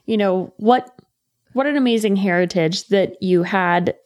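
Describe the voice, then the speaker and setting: A woman's voice: mid-range voice, unhurried, darkish tone. Young woman, podcast host, enthusiastic, playful, chatty. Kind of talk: casual interview chat.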